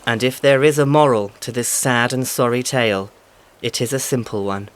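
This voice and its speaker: A man narrating a scripted animal fable in a measured, emphatic storytelling manner. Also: medium pitch, steady tone, clean tone.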